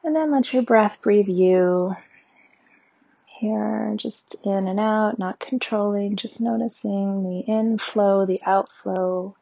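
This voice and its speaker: Slow, husky-voiced woman guiding meditation. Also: mid-range voice, dull audio. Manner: slow, soothing, gentle instructions. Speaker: meditation guide. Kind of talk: guided meditation.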